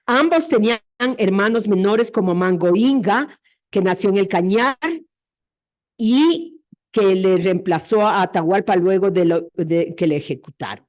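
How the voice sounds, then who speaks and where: A woman's voice: mid-range voice, expressive, unhurried, a little mellow. A woman presenting an academic history lecture, explaining her reasoning step by step in a didactic way.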